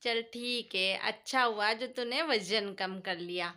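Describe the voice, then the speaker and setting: A woman's voice: mid-range voice, slow pace, bright, forceful tone. A woman playing a caring, consoling mother-in-law in an amateur moral story, speaking in a motherly way. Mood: approving. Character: soothing, caring mother-in-law, gentle with daughter-in-law.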